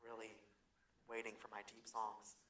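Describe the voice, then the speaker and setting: A man's voice: on the low side, monotone, normal speed, a bit bright, a little hiss or hum. A man co-hosting a casual podcast about music, speaking in an emphatic, opinionated and playfully combative way. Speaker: podcast co-host.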